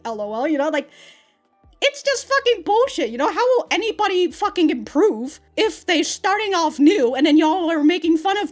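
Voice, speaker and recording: Young woman ranting about anime, highish voice, swears a lot, faint music under. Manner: swearing, ranting, laughing often, many fillers. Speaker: anime reaction YouTuber. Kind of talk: casual reaction commentary. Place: small room indoors with green-screen backdrop.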